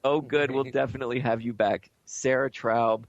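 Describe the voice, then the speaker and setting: A man's voice: medium pitch, fairly even intonation, normal speed, dry recording. A man hosting a conversational spiritual talk show, speaking in an informal, earnest and reflective way. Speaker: radio show host. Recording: dull lo-fi audio.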